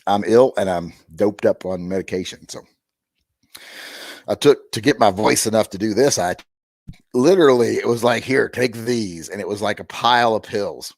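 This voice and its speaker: A middle-aged man with a hoarse, gravelly voice hosting a rambling live-stream chat with wry, self-deprecating asides. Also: lowish voice, moderate pace, no room sound, studio quality. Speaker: host.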